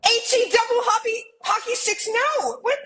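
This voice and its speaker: A woman's voice: very high voice, moderate pace, a bit bright. A woman playing a flighty, defensive, mystical millennial twin in a romcom table read, with dramatic, quippy delivery. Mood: exasperated.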